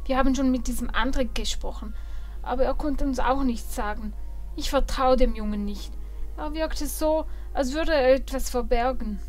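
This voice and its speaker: A woman's voice: high voice, moderate pace, a little mellow, soft background music, close to an everyday voice. A woman playing an anxious, earnest dwarf mother in a fantasy audio drama. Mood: concerned. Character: worried dwarf mother, pleading, trying to stay calm.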